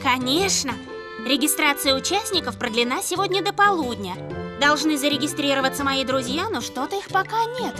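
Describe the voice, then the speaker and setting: An actress playing a cheerful, organised young woman who runs a rescue base in a children's cartoon, brisk and encouraging. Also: high-pitched, lively intonation, music in the background. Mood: cheerful, businesslike.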